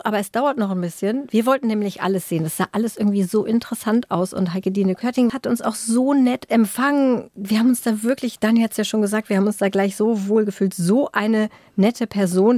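A woman's voice: mid-range voice, fairly quick, few pauses, a little mellow, dry recording, studio quality. A woman co-hosting a podcast, narrating casually and chatting. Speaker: podcast co-host.

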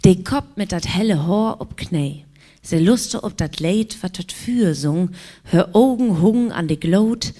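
Low, warm Northern German woman reading. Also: measured pace.

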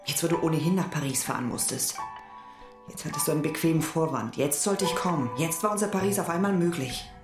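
Low-voiced wounded, proud wife, played by an actress. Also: female voice, fast talker, reverberant, over music, natural voice. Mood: shocked, reproachful. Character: wounded, proud wife confronting her husband and lover. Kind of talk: German radio drama dialogue.

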